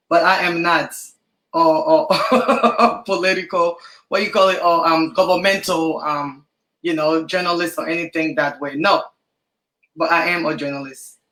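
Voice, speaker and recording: A woman's voice: deep voice, fairly even intonation, a little slow, a bit bright, roomy sound, low-quality recording. A woman born in Liberia and raised in Ghana, a podcaster and journalist, answering interview questions in a rambling way with many fillers.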